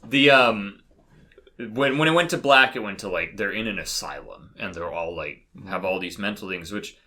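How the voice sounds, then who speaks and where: A man's voice: fairly low pitch, normal speed, bright, forceful tone. A man talking casually and giving opinions as a podcast panelist, rambling and often cutting into his own sentences as he hedges. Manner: rambling, self-interrupting, hedging.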